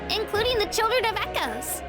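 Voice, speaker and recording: A woman's voice: extremely high pitch, big pitch swings, a little fast, over loud music. A woman voicing a sweet, earnest young warrior girl, a little unsure, in a video game. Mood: eager.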